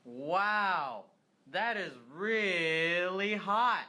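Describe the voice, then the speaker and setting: A woman's voice: fairly low pitch, very slow, pushed and bright, exaggerated voice. A woman playing an enthusiastic drink seller in an amateur scripted radio commercial. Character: enthusiastic woman praising a refreshing cold drink.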